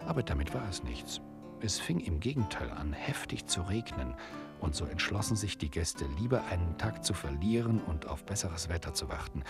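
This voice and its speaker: A man narrating a radio play in a scripted, literary storytelling style. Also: deep voice.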